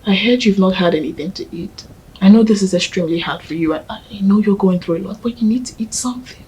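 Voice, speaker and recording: A woman playing a tearful, grieving widow who speaks softly and pleadingly. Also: mid-range voice, fast, husky, poor audio. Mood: comforting. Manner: tearful, soft-spoken, pleading. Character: grieving young widow, later comforting a man. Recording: faint background noise.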